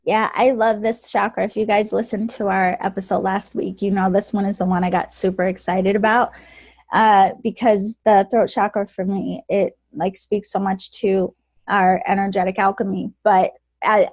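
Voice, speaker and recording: Soft-spoken woman podcast host, lively and casual, recorded dry and close. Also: mid-range voice, moderate pace, no room sound. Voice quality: naturally soft voice. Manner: chatty, animated, laughs often. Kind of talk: casual chat.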